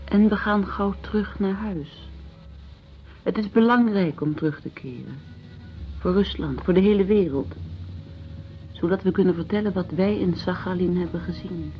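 A woman's voice: fairly low pitch, moderate pace, pauses often, close to an everyday voice. An actress playing a troubled but idealistic woman, serious and searching, in a radio drama. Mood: resolute. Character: troubled, idealistic woman, anguished yet willing to hope. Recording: dull radio recording with music underneath.

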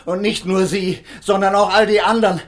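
Radio thriller: male actor as grave, embittered lawyer, older, higher-set voice, moralizing, deliberate delivery. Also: fairly even intonation, natural voice. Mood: anguished, vengeful. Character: stern, bitter idealist lawyer, driven to vengeance. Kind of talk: German radio crime drama acting.

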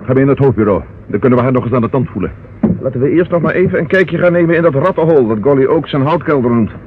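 A stern, businesslike police inspector played by a man in an old radio crime play, questioning briskly and with authority. The sound is narrow and tinny, with clear background noise. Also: medium pitch, expressive, lightly acted. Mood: deliberate, businesslike. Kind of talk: old Dutch radio crime drama acting. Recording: muffled, noisy old radio recording.

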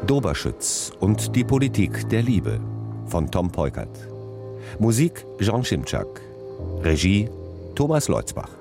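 A man's voice: on the low side, wildly swooping pitch, slow, creaky. A man reading a scripted radio drama title announcement as the announcer. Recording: music under the voice.

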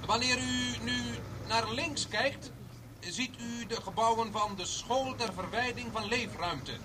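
A grandiose guide and barker in a radio play, his voice pitched high. He declaims his patter pompously, some way from the microphone, on a noisy recording. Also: slow pace. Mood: showy, explanatory. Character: pompous, showy male tour guide and barker. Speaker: tour guide. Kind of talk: radio drama acting. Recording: noisy old radio recording, distant from mic.

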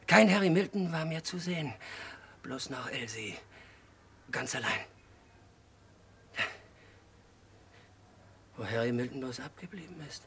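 An actor in a German radio crime play, playing an unhinged, childlike murderer who confesses in halting, disjointed sentences. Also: male voice, medium pitch, slightly rough. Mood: brooding, secretive. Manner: halting, disjointed short sentences, swinging from hushed to agitated outbursts. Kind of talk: mid-century German radio crime drama acting.

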